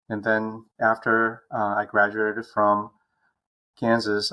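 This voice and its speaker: A man of about fifty, raised in Taiwan, speaks low and fairly flat. He tells his story slowly and carefully in a casual interview, pausing on ums. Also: poor audio. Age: around 50. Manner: measured, many ums. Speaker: guest, retired navy officer.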